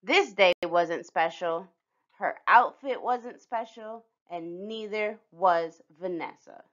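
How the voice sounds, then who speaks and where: A woman's voice: medium pitch, takes it slowly, highs cut off. A woman reading a children's picture book aloud as a scripted storyteller.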